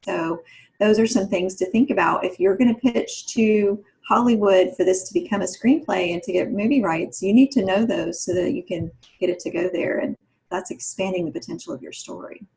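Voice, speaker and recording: A woman with a medium-pitched voice speaks on a podcast panel. She gives practical advice in a conversational style. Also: normal speed, vocal fry, a little mellow, low-quality recording.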